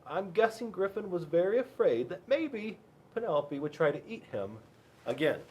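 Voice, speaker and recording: A man reading a storybook aloud to children in an expressive, chatty way, addressing his young listeners directly. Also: high-pitched, normal speed. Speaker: police school resource officer reading to children.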